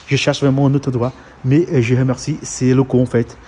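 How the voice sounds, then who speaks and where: A man's voice: medium pitch, little pitch movement, brisk, mellow, slightly rough. A man from Brazil with a Brazilian Portuguese accent, a heavy-truck mechanic telling his story in a casual vlog, hesitant with many fillers and restarts. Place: outdoors at a truck yard and garage. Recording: outdoor street noise behind.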